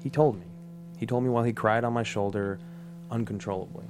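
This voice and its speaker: A man's voice: lowish voice, steady tone, a little fast, background noise, natural voice. Blunt, weary gym owner played by a man. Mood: earnest warning. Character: weary, earnest former steroid-using male gym owner. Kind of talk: comedic script table read.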